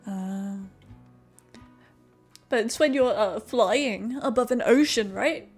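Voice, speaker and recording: A woman streaming a game, chatting casually with a friend in an excitable, jokey, sweary way. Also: a little high, takes it slowly, breathy edge. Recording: music behind the voice. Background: music.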